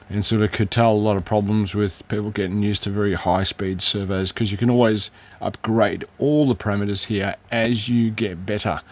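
A hoarse middle-aged man, rambling through a casual product demo in his hobby shop, with lots of fillers and self-corrections. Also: lowish voice. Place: hobby shop, shelves behind.

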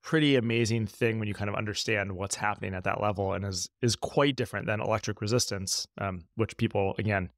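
A man's voice: lowish voice, fairly even intonation, a little fast, hardly any pauses, dry recording, clean studio sound. A man hosting a casual podcast interview, reflectively restating and framing points as he talks. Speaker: podcast host.

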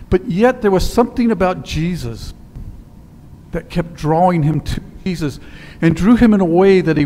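An older male priest preaching from the front of a church, his slightly high voice carrying through a long reverb. He tells stories plainly and sinks to quiet, slowed emphasis, with a faint hum of the room behind him. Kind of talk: sermon. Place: church sanctuary in front of altar. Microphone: headset mic.

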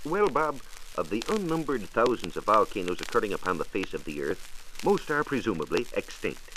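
American male science reporter, low voice, lively intonation, formal 1940s radio narration, precise, old disc. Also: moderate pace. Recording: faintly noisy 1940s radio transcription disc.

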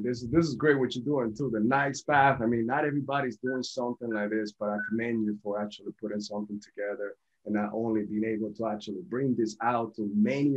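A man's voice: fairly low pitch, husky. A man, telling his personal story conversationally as a guest over a video call. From born in Venezuela, raised in Colombia. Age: 40s. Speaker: podcast guest. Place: small indoor home office. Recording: dull, lo-fi video call audio.

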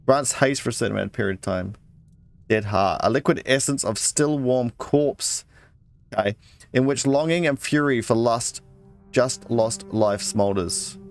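A man's voice: mid-range voice, unhurried, soft background music. A man giving casual let's play commentary, muttering his thoughts aloud and reading out item text.

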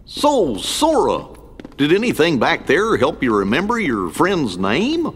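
A man voicing a friendly, dopey cartoon dog in a game, talking in a slow drawl. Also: on the high side, wildly swooping pitch, long reverb tail. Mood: curious.

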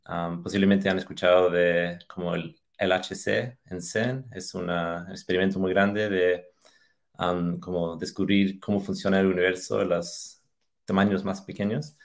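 Deep-voiced man with Australian-accented Spanish. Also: level tone, moderate pace, creaky. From Australia, Tasmania. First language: English. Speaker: podcast guest, machine learning engineer. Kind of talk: casual interview answers. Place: indoors on a video call. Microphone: video call.

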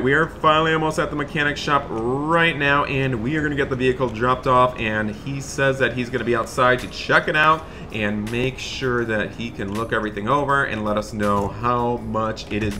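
A man's voice: medium pitch, moderate pace, hardly any pauses, sharp tone, slight background noise, lightly acted. A man playing a cheerful, thrifty father in an improvised video game roleplay, chatty and folksy. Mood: upbeat. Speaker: gaming video host.

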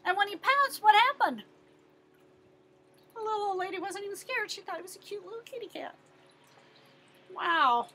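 High, bright American woman reading to children. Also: normal speed, long pauses, slight background noise. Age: middle-aged. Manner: expressive, emphatic, asks and answers own questions. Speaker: teacher-librarian reading to children. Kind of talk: animated picture-book read-aloud with questions to young listeners.

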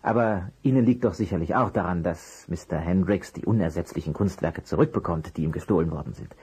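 A man playing a polite, persistent private detective in an audio drama, with a husky voice and a courteous, probing way of asking. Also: low-pitched, brisk, mellow. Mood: polite, placating. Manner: courteous, probing, hesitant at times. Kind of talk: German audio drama acting.

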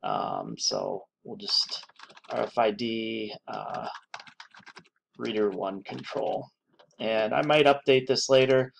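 A man giving an unscripted screen-recorded software tutorial, thinking aloud with many pauses and restarts. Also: fairly low pitch, very animated, creaky, slight background noise.

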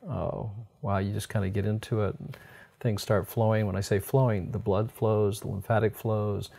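A middle-aged American man talks health in a folksy, explanatory manner. His voice is low and gravelly, with a soft, mellow edge, as in a relaxed sit-down interview. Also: moderate pace. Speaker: health doctor and program host. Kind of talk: conversational health advice interview. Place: indoors on a sofa in a book-lined room.

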